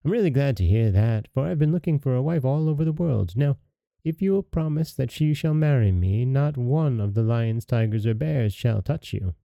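Yellow Dwarf, a squeaky scheming dwarf, put on by a man. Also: animated, normal speed, vocal fry, dry recording. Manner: disdainful, high squeaky voice. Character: small ugly yellow dwarf, haughty and scheming.

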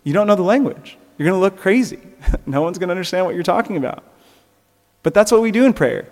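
A man's voice: high voice, lively intonation, fast talker, a little mellow. Man preaching in an echoing hall. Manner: earnest, rising to emphatic peaks, with light laughs. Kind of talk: sermon. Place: church.

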